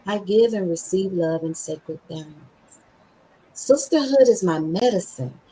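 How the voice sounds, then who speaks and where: A woman hosting a podcast, with a scratchy voice, talking with excited emphasis and slowly repeating affirmations. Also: mid-range voice. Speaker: podcast host.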